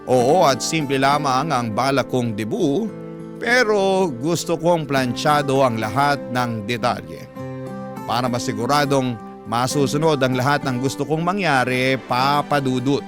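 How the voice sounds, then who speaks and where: A man's voice: mid-range voice, unhurried. A man with a Filipino accent narrating as a radio storyteller, in an emotional, scripted classic radio style. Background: music.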